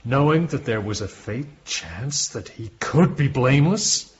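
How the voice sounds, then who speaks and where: A man performs a brooding confessor-seeker in a radio drama. His intense, challenging questions swing widely in pitch, in slightly dull sound. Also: lively intonation, measured pace, natural voice. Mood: accusing, brooding. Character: brooding male visitor challenging a priest about God and guilt. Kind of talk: broadcast radio drama acting.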